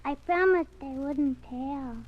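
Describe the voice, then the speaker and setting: A woman's voice: high voice, slow pace. A woman playing a sweet, trusting, stubborn little girl in a 1940s radio drama, innocent and literal in manner. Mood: sweet, candid. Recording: muffled vintage 1940s radio recording.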